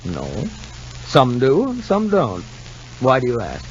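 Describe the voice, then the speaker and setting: An actor playing a kindly, patient doctor in a 1950 radio soap opera, gently coaxing and encouraging in a folksy way. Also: male voice, medium pitch, huge pitch swings, slow pace, heavily acted. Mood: gentle, reassuring. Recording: muffled, noisy 1950 radio recording.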